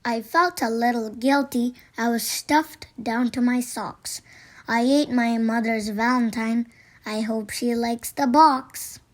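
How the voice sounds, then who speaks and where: A woman reading children's poems aloud from a storybook in a deliberate way. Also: on the high side, fairly even intonation. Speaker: storybook reader. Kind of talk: read-aloud children's poetry.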